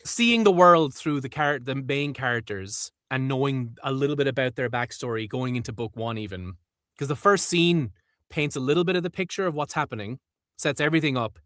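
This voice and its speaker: Bright-voiced Irish man chatting. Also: medium pitch, lively intonation, fairly quick, dry recording, clean studio sound. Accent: Irish, from Wexford. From Ireland. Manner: chatty, laughs easily, tries out accents. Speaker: audiobook narrator, guest. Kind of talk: casual interview chat.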